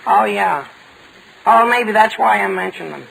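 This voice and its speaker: A man's voice: high voice, unhurried, fairly bright tone, heavily acted, rough recording. A man playing a hapless, blundering teenage boy with an American accent, a cracking voice and a hesitant, stammering manner, in 1940s radio comedy style. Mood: nervous, bumbling. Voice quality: cracking, creaky adolescent voice. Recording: hissy vintage 1940 radio recording.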